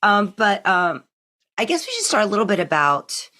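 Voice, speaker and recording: A woman's voice: mid-range voice, sing-song, normal speed, bright, forceful tone. A woman hosting a podcast, chatting casually and interviewing guests. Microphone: video call.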